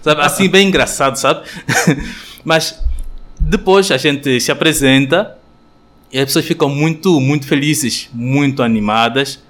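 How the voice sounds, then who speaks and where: A man with an Angolan Portuguese accent, a friar giving a radio interview answer, thoughtful and hesitant with many pauses and fillers. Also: fairly high pitch, a bit bright. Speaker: friar, guest.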